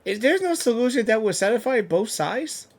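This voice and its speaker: Female gaming reactor: raspy edge, expressive swings, exclamatory, informal. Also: fairly high pitch, normal speed. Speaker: gaming reaction video creator. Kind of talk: excited game reaction commentary.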